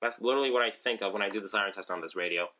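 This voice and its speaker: Bright-voiced young guy touring his radios. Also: fairly low pitch, rapid-fire. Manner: rambling, lots of ums and restarts. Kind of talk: casual video tour. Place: room at home with shelves of radios.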